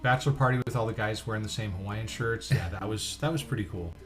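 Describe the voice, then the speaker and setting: A man's voice: fairly low pitch, fast talker, faint music behind. A man co-hosting a casual livestream, chatting and reading out comments in a jokey, talkative way. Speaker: co-host of a livestream show.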